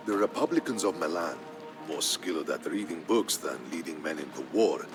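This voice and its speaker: A man narrating a historical tale in a scripted, dramatic style, solemn and measured. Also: sits low. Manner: solemn, measured storytelling delivery. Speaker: narrator of a strategy game campaign story. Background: background music.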